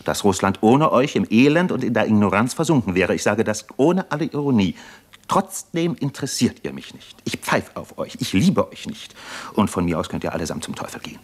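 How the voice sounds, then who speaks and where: An actor playing a weary, disillusioned captive doctor in a German radio play, articulate and bitterly ironic. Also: male voice, on the low side, big pitch swings, brisk, breathy edge. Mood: bitter, defiant. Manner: articulate, bitterly ironic, impassioned in long speeches.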